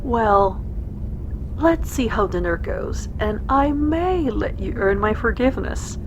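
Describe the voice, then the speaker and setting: Woman acting a slow, coy female officer. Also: animated, lo-fi sound. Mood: flirtatious. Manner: coy, teasing. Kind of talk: audio drama acting. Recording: faint vehicle-like background rumble.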